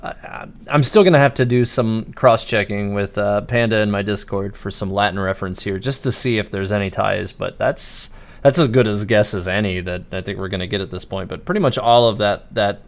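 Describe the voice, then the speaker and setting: A man's voice: fairly low pitch, brisk. A man livestreaming a video game, giving casual commentary in a musing, hesitant, speculative way.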